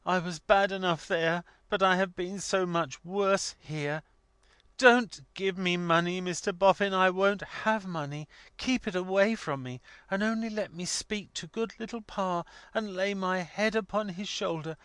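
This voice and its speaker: A man doing a high-pitched, distraught young woman. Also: measured pace, fairly bright tone, clean tone, no room sound. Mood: tearful, pleading. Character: distraught, pleading young woman, passionately remorseful.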